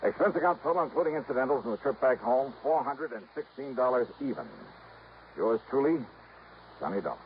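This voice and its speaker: An actor playing a wry, relentless insurance investigator in a 1950s radio detective drama, brisk and pointed. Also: male voice, medium pitch, lively intonation, natural voice. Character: hard-boiled male freelance insurance investigator, wry, relentless. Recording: dull, noisy old radio recording.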